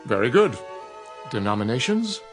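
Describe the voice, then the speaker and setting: An actor playing an urbane, sly genie in a radio drama, with a polite, formal, salesmanlike manner. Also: male voice, mid-range voice, big pitch swings, normal speed, lightly acted. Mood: brisk, obliging. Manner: suave, formal, smoothly salesmanlike. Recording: music under the dialogue.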